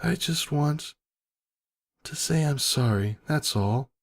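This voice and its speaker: A man's voice: on the high side, animated, slow pace, gravelly, voice pushed up, heavily acted. A man voicing a young female pegasus pony, brash and grief-stricken, in an emotional dramatic reading. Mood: pleading. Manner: emotional, pleading outbursts. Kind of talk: dramatic fan fiction reading. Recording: background music.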